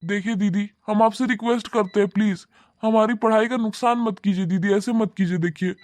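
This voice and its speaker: A man's voice: high voice, fairly even intonation, fairly quick, dry recording, lightly acted. A man voicing a humble, hardworking young male newspaper seller in a cartoon, talking politely and deferentially. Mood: pleading. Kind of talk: cartoon voice acting.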